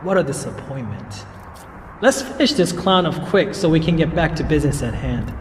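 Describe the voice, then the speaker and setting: A man's voice: a little high, lively intonation, moderate pace, natural voice. A man playing an arrogant, boastful villain in a video game cinematic, taunting in a theatrical manner. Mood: smug, taunting. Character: boastful villain, arrogant showman with homemade weapons. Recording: long hall reverb, clear background noise.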